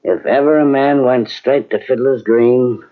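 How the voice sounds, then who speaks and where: An actor playing a genial, confident cavalry officer with an easygoing, avuncular manner in an old-time radio drama. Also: male voice, medium pitch, fairly even intonation, measured pace, close to an everyday voice. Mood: wistful, musing. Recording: muffled old radio drama from cassette tape.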